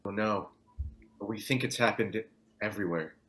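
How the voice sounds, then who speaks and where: A man's voice: fairly low pitch, normal speed, fairly bright tone, natural voice. Man acting a concerned, practical survivor. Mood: sober. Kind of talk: table read of a scripted audio drama.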